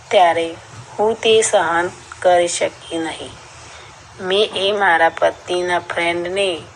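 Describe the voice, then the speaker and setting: Slow-speaking woman narrating, noisy background. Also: on the low side. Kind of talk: slow first-person scripted story narration.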